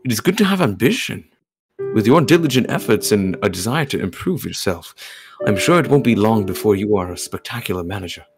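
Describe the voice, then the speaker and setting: A courteous young cat-man gentleman acted by a man who speaks in a careful, formal way and prizes perfection. His voice sits fairly low, as in a homemade visual novel dub, with music clearly behind it. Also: very animated, brisk. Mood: encouraging. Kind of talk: amateur game dub, scripted dialogue.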